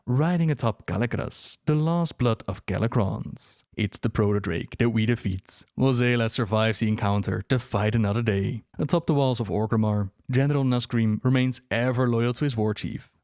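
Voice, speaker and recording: A man's voice: medium pitch, normal speed, hardly any pauses, darkish tone, no echo. A man narrating scripted lore as a dramatic storyteller, close to the mic. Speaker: video narrator.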